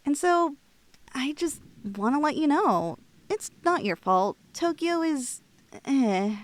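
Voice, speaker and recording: A woman playing a tipsy, playful young cosplayer in an ASMR roleplay, rambling and teasing with halting, drawn-out words. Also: high voice, big pitch swings, full character voice. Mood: fond, shy. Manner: rambling, teasing, halting with giggles and trailing thoughts.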